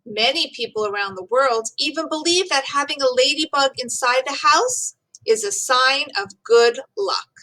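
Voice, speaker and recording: A woman teacher reading aloud to children in an expressive, deliberate storybook style with clear emphasis. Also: sits high, poor audio. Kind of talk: expressive children's read-aloud of rhyming poem and facts.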